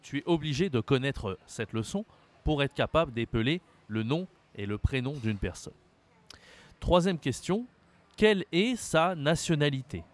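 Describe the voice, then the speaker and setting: A man's voice: a little high, sing-song, moderate pace. A man from France teaching a French lesson, speaking patiently, repeating words and spelling them out letter by letter. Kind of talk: slow, carefully articulated language lesson.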